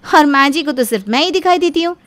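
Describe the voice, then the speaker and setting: A woman voicing a cheeky, outspoken younger daughter-in-law in an animated family story, with a sassy, complaining manner. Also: high-pitched, fast, dry recording, pitched above the performer's own voice.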